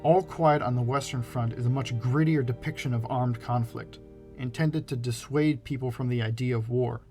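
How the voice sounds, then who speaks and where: A man's voice: mid-range voice, fairly even intonation, normal speed, darkish tone. Guy narrating a film essay, scripted read, music playing underneath.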